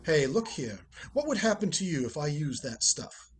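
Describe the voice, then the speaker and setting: A man's voice: a little high, big pitch swings, normal speed, a little hiss or hum. A man playing a wry, urbane, unruffled ex-husband in a radio play, speaking with dry politeness. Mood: urgent, scheming. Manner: dry, polite, wryly amused.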